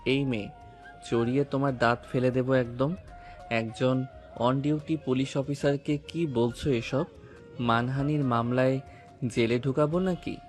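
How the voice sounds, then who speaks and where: A man's voice: mid-range voice, fairly even intonation, measured pace, close to an everyday voice. Guy as protective young cop husband, angry and commanding, mid voice, music faintly behind. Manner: commanding, confrontational. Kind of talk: audio romance story drama acting.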